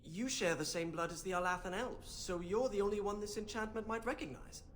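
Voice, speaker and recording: A man's voice: sits high, fairly quick, fairly bright tone, a little hiss or hum. An actor playing a fussy, bookish young male mage in a video game, earnest and hesitant as he over-explains. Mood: hesitant, explaining. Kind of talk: video game character acting.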